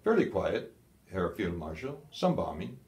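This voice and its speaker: A man's voice: sits low, very animated, measured pace, a little mellow. A man voicing an anxious, deferential German colonel with clipped replies in an audiobook. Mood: deferential, guarded. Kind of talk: audiobook character dialogue. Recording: echoey, roomy sound.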